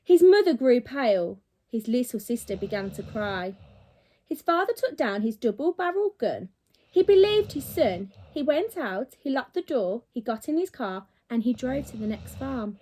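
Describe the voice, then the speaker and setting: A woman reading a children's storybook aloud in an animated, dramatic, teacherly way. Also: on the high side, measured pace. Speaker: primary school teacher.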